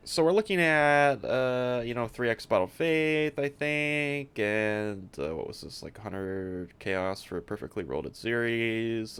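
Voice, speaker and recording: A man's voice: lowish voice, fairly bright tone, clean tone. A man giving casual gameplay commentary as a streamer, offhand and a little hesitant, thinking aloud as he goes. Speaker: streamer showing a game character build.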